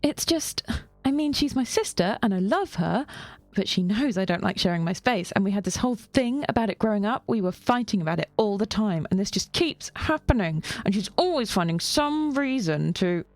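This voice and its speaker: A woman's voice: medium pitch, lively intonation, moderate pace, no echo, lightly acted. A woman playing a flustered, exasperated younger sister who works in an office, rambling and apologetic, in an audio drama.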